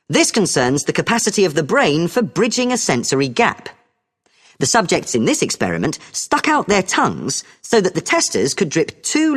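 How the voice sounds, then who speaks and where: A British woman delivering a scripted academic lecture as a lecturer, in a measured, explanatory manner. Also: sits low, big-room sound.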